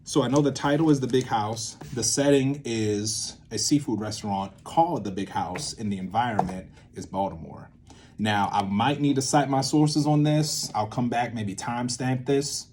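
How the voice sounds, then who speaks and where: A man's voice: medium pitch, normal speed, darkish tone, a little hiss or hum. A man giving an informal whiteboard lesson, thinking aloud in a rambling way and often interrupting himself. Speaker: creative methods teacher and playwright.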